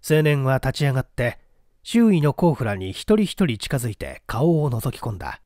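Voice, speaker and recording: A man's voice: medium pitch, very animated, moderate pace, warm tone, dry recording, studio quality. A man reading a mystery story aloud as a dramatic, scripted narration. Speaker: audiobook reader.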